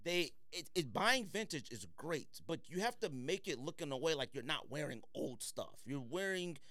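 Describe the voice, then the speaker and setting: A man's voice: a little high, animated, moderate pace, fairly bright tone, no echo, studio quality. An American man hosting a casual panel podcast, bantering in a slangy, teasing way. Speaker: podcast host.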